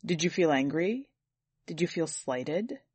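A woman's voice: low-pitched, expressive, measured pace, darkish tone, husky, dry recording, pitched below the performer's own voice, exaggerated voice. A woman voicing an embarrassed, formal male translator for a film crew in a story. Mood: uneasy, polite.

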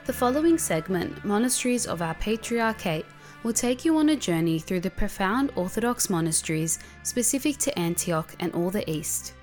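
A woman's voice: mid-range voice, lively intonation, normal speed, a little mellow, faint music behind. A woman presenting a series, reading a formal, scripted introduction to a segment.

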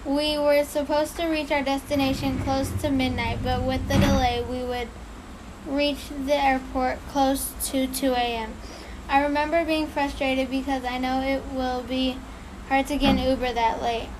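A woman with a high voice reads a story aloud in a loose, casual way, often stumbling, restarting and apologizing. A steady hiss of noise sits under the recording. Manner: halting, apologetic, laughs at her own slips. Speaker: podcast co-host reading a story.

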